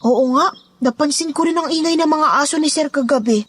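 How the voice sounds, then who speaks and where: A woman's voice: high voice, a little fast, a bit bright. A woman playing a plucky, loyal Filipina housemaid in an audio story, chatty and confiding, with a Filipino accent. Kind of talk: audio story drama dialogue.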